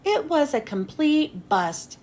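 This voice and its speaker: A woman narrating a children's storybook expressively, with dramatic pauses and drawn-out phrase endings. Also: sits high, big pitch swings, darkish tone. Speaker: children's book read-aloud host. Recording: noticeable background noise.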